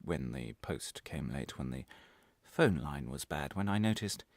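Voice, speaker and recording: A man performing a radio monologue as a defensive, wry prisoner recounting his arrest, halting and correcting himself with sudden emphasis. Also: a bass voice, lively intonation, gravelly, no room sound, exaggerated voice. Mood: uneasy, defensive. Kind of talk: dramatic radio monologue, confessional first-person narration.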